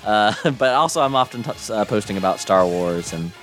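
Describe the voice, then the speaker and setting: A young man hosting a live podcast, slightly hoarse, talking casually and upbeat with lots of fillers and restarts. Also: lowish voice, expressive, a little fast, fairly bright tone, faint music behind, rough recording. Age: young adult. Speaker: podcast host.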